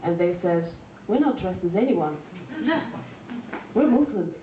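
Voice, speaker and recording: A woman, performing a deadpan stand-up set full of fillers and restarts, recorded live at a venue. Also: on the low side, a little slow, slight background noise. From Russia. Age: 20s. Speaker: stand-up comedian. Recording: echoey lo-fi room recording from far off.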